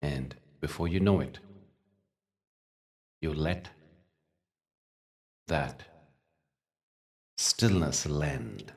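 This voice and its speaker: Man, low-pitched, very unhurried, gentle meditation teacher, pausing between phrases, recorded a little away from the mic. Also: gravelly, distant mic. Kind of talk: guided meditation with spiritual talk.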